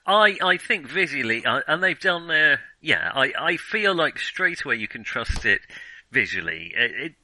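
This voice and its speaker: Bright, crisp-edged man chatting about film. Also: on the high side, big pitch swings, moderate pace, rough recording. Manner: hesitant, self-correcting, circling back to rephrase. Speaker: film discussion podcast co-host. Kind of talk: casual film review chat.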